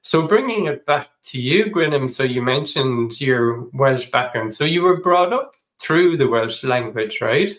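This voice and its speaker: A man's voice: mid-range voice, expressive, measured pace, hardly any pauses, fairly bright tone, low-quality recording. A man from Ireland with an Irish accent, hosting an interview and asking questions in a casual, searching way with many fillers. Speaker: podcast host. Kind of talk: interview, conversational.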